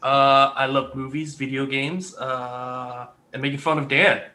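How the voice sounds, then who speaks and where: Man, bright forceful tone, salty, sarcastic, blunt, casual panel chat. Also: mid-range voice, flat delivery, unhurried.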